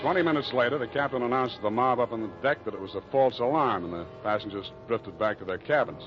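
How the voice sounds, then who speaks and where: An actor playing a wry, hard-boiled private detective in an old radio drama, deadpan and dryly understated. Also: male voice, mid-range voice, quick pace, natural voice. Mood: dry, matter-of-fact. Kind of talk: old-time radio detective narration and dialogue. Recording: dull vintage radio recording with music.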